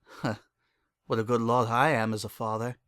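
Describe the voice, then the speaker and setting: A narrator voicing a sorrowful, world-weary pony father in a dramatic story, halting and hollow in delivery. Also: mid-range voice, little pitch movement, fairly bright tone, dry recording, close to an everyday voice. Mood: self-reproaching. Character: guilt-ridden, weary old time-traveling stallion father.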